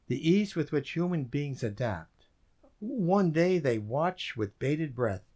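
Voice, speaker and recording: A man in a community radio play, playing a weary, wry government code breaker who reflects on his story. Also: fairly high pitch. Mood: wry, resigned. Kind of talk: community theater radio drama, narration and dialogue. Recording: roomy, distant stage sound with noise.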